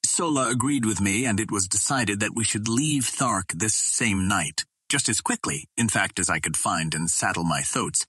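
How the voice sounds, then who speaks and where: A man reading an adventure novel aloud as an audiobook narrator, telling the story at a steady, measured pace. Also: lowish voice, husky, no room sound. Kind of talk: scripted narration.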